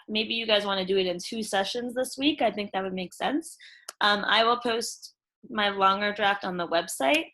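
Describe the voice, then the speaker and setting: A woman's voice: a little high, sharp tone. A woman teacher walking pupils through a screen-share tutorial, instructing them informally step by step. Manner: instructional, addresses children informally as "you guys". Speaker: elementary school teacher.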